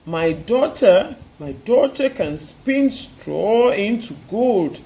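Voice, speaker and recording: A storyteller doing a boastful miller. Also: male voice, high-pitched, very animated, slow pace, mellow, exaggerated voice. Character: boastful male miller eager to impress.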